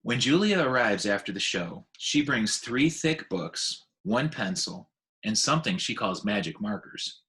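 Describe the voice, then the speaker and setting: A man reading a children's novel aloud in an expressive storytelling manner. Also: on the low side, measured pace. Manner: expressive, with voiced dialogue.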